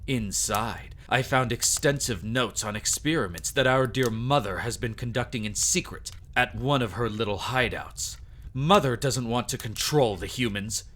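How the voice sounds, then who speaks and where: A man's voice: medium pitch, moderate pace, a bit bright, lightly acted. A man playing an aristocratic vampire son in an audio drama, with pompous, sardonic diction and a mocking, theatrical manner. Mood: smugly accusing. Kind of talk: scripted audio drama acting.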